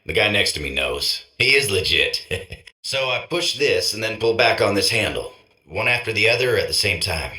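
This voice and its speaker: A man's voice: fairly low pitch, expressive, moderate pace, pushed and bright. A man playing a clueless, breezy airplane pilot making a folksy, bumbling cabin announcement in a comedic game, with an echo.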